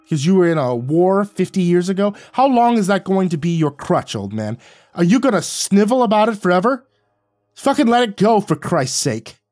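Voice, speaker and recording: A man's voice: sits high, big pitch swings, very fast, hardly any pauses, warm tone, no echo, voice pushed up. Man playing a combative, furious younger man. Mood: scornful. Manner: biting, combative. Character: defiant younger man, confrontational.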